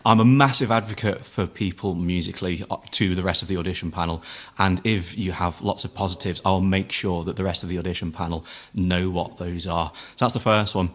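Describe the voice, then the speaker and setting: A man who is a musical director, explaining audition advice in a measured, articulate way as an interview guest. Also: low-pitched, fairly even intonation.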